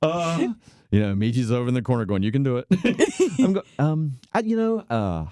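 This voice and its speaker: A man in his fifties telling a personal story in casual conversation, animated and self-deprecating, laughing often and acting out the voices of the people he quotes. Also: mid-range voice, moderate pace, smooth, no room sound. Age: 50s.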